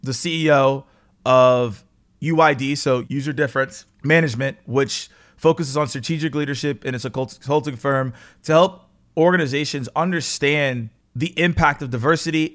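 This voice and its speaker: A man's voice: medium pitch, normal speed, a bit bright. A man hosting an interview in a conversational style, introducing his guest and putting questions to him. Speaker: interviewer, podcast host.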